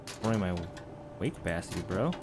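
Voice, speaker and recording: A man's voice: deep voice, animated, brisk, noisy background. A man giving casual, joking commentary while playing a video game, often muttering to himself. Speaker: gameplay streamer.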